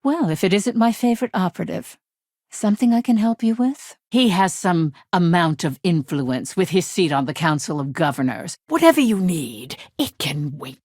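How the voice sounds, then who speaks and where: Stern corporate legal executive, middle-aged, curt dry wit, weary, video game dialogue, close dry sound. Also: female voice, fairly low pitch, expressive, normal speed, darkish tone, dry recording. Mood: impatient, curt, then firm, businesslike.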